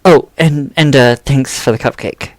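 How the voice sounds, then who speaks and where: A man's voice: mid-range voice, no echo, natural voice. Man as an amiable, hesitant friend, dry sound. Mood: worried, practical. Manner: hesitant, halting. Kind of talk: fan audio drama acting.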